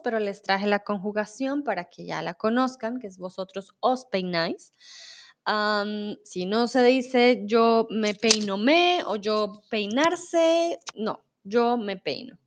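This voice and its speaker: Slow-speaking Colombian woman teaching Spanish. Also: on the high side. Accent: Colombian, Bogotá. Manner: patient, explains slowly, reads viewer comments aloud. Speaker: Spanish teacher streaming live. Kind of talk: live-stream language lesson reading chat.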